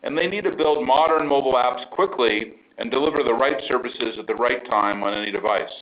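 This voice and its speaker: A man's voice: medium pitch, brisk, muffled recording. A man, a company chief executive, speaking on an earnings call in an upbeat, enthusiastic way, with frequent fillers. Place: remote call line from abroad.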